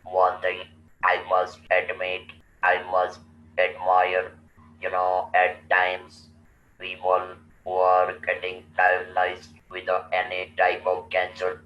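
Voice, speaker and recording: A man, telling his own story slowly and deliberately as a guest, in a flat, low monotone voice. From India. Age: 60s. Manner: slow, deliberate, halting with restarts. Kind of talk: slow personal storytelling. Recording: roomy, distant, muffled with faint noise.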